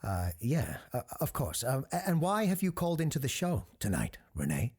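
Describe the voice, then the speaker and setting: An actor playing a learned, cautious doctor who hosts a radio show in an audio drama, husky-voiced, hesitant and earnest. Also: male voice, medium pitch, huge pitch swings, moderate pace, a little mellow. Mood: puzzled, hesitant.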